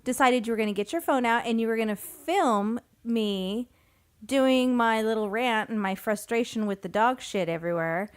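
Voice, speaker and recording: A woman's voice: on the high side, moderate pace, clear, resonant tone. A woman co-hosting a podcast in casual chat, tired and sleepy, often giggling sheepishly. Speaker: podcast co-host. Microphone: podcast microphone.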